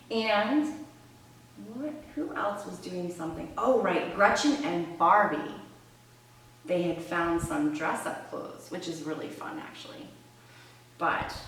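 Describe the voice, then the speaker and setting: Female read-aloud presenter, low register, expressive and chatty teaching delivery, heavily roomy sound. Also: slight background noise. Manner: expressive, with conversational asides and questions to listeners. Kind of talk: read-aloud storytelling and instructional explanation. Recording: roomy echo, distant microphone.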